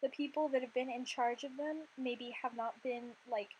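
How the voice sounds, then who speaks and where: A young woman in her twenties with a high-pitched voice, a little hoarse from losing it, talks through a gameplay voice-over. She rambles in a chatty, filler-heavy way at a home desk mic. Faint hiss runs underneath. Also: fairly even intonation, normal speed, darkish tone, low-quality recording. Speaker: Sims content creator.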